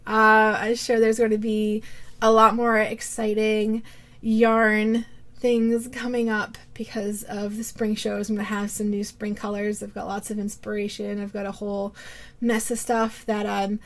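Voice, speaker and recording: A woman with a slight cold hosts a casual show-and-tell about her hand-dyed yarn. She speaks slowly and unhurriedly, at a middling pitch, wandering through her thoughts with plenty of fillers and restarts. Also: monotone. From Vermont, USA. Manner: rambling, many fillers and restarts. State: has a cold. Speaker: yarn dyer and podcast host.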